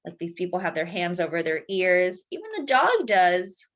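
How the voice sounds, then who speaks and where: A woman storyteller reading a picture book aloud expressively, stretching key words and chatting to young listeners. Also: lowish voice. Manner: animated, drawn-out emphasis. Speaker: children's storyteller.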